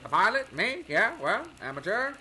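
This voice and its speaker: High, piercing wisecracking hothead pilot. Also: male voice, normal speed, slight background noise, exaggerated voice. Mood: startled. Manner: slangy, excitable. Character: excitable, indignant jailed amateur pilot, wisecracking hothead. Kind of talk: old-time radio drama acting.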